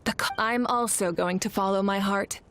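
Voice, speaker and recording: Actress as young lady war chief in a game, medium pitch, calm n measured, dutiful. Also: natural voice. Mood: calm, candid. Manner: measured, composed. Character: composed, dutiful young female war leader. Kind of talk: video game voice acting.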